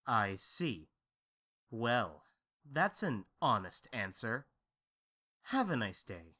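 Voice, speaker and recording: Guy doing humble servant in a radio play, flustered n polite, bright voice, really bouncy intonation. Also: mid-range voice, very animated, slow pace, slightly rough, exaggerated voice. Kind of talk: audio drama acting of modernized Shakespeare.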